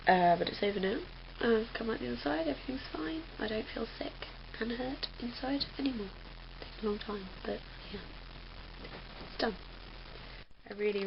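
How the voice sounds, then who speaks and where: A woman's voice: lowish voice, vocal fry. Young woman chatting in a lo-fi recording. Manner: rambling, chatty, many fillers. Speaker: vlogger. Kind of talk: casual chat. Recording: dull lo-fi webcam audio with faint hiss.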